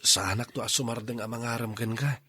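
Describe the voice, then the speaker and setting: An actor with an Ilocano accent playing an earnest, coaxing suitor in a radio drama. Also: male voice, medium pitch, steady tone, fast, pushed and bright, breathy edge. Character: earnest male suitor courting a middle-aged woman.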